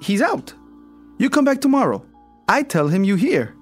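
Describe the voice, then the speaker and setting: Radio drama manservant: foreign accent, timid but stubborn, husky, swooping delivery, clipped broken English. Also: male voice, high voice, sing-song, moderate pace, faint music behind, full character voice. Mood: evasive, nervous. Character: timid, stubborn male servant of a rich man.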